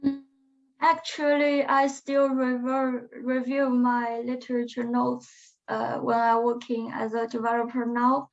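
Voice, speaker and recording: A young woman speaking English as a second language, answering interview questions in a halting, hesitant way. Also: a little high, level tone, gravelly. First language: not English; non-native speaker. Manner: hesitant, halting phrasing with restarts. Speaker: alumna guest. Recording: muffled lo-fi webinar audio.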